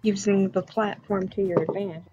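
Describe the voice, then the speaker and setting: A woman chatting on a stream, dropping blunt, quick quips. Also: mid-range voice, fairly even intonation, muffled recording, over a noisy crowd. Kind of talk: casual stream chat.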